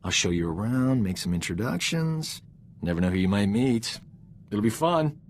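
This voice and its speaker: An actor playing a cocky, flirtatious medic in a video game, smooth-talking and boastful, with a theatrical way of talking. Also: male voice, on the low side, lively intonation, moderate pace, slight background noise. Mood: coaxing. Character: cocky, flirtatious male medic, self-promoting charming rogue. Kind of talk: video game character dialogue.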